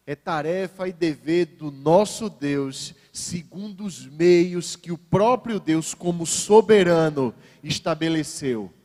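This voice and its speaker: A man with a high-set voice and a Northeastern Brazilian accent preaches in a large church. His phrasing is deliberate and emphatic, built on repeated phrases. The hall adds a long reverb to his words. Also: darkish tone. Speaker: pastor preaching at a church service. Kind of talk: sermon.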